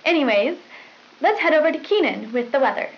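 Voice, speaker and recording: A woman's voice: sits high, sing-song, a little fast. A young woman playing a polite, perky school news anchor, formal and scripted, on a hissy old tape. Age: young adult. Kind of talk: scripted 1990s school newscast. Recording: dull hissy VHS broadcast sound.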